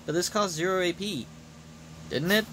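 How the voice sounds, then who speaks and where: A man's voice: sits high, moderate pace, a little hiss or hum, low-quality recording. A man who streams games, giving casual commentary as he plays and reads things off. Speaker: game streamer. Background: loud game music.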